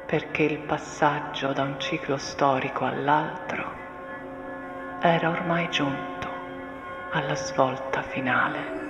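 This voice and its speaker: A woman performing a solemn, deliberate dramatic monologue of literary text, often sinking into breathy whispers. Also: sits low, steady tone, dull audio. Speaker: stage actress performing a monologue. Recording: echoey, long reverb, music underneath. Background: music and soundscape.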